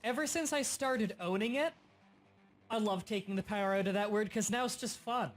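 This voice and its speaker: A young man hosting a casual gaming livestream, explaining game mechanics in quick bursts and sometimes stuttering. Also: high voice, faint music behind. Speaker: livestream host. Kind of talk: casual gaming stream chat.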